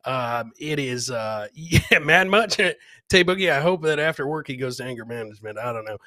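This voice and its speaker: Bright, middle-aged man hosting a livestream. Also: mid-range voice, lively intonation, normal speed, dry recording. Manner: hype announcer phrasing, addresses viewers directly. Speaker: host of a live clip-reaction show. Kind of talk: casual livestream chat and commentary. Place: indoor home streaming setup. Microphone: close boom microphone with headphones.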